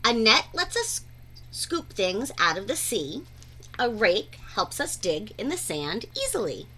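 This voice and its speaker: Very animated woman reading a children's book. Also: medium pitch, slight background noise, low-quality recording. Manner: animated, deliberate, sing-song phrasing. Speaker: read-aloud storyteller. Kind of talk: expressive rhyming children's picture-book read-aloud.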